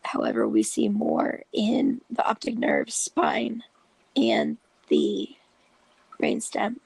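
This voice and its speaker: A heavily gravelly, husky voice from someone fighting a cold, talking at an unhurried, deliberate pace. The delivery is a patient, informal explanation that keeps slipping into half-whispered stretches. The sound is close and dry, with no room echo. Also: mid-range voice, big pitch swings, darkish tone. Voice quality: raspy, gravelly and breathy. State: has a cold, raspy voice. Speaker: video host and patient support group leader.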